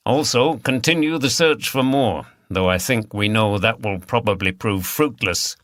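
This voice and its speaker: A senior police detective, acted by a male audiobook reader, brisk and in charge. He gives orders decisively in a bright, forceful tone. The sound is dry and close. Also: fairly low pitch, no room sound. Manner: decisive, commanding. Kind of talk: audiobook character dialogue.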